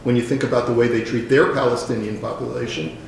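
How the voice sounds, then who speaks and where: A man's voice: mid-range voice, flat delivery, fast talker, vocal fry, slight background noise. A man speaking at a public dialogue, a rabbi who hesitates with fillers and then presses his point. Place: event hall. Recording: very echoey hall with faint ambience.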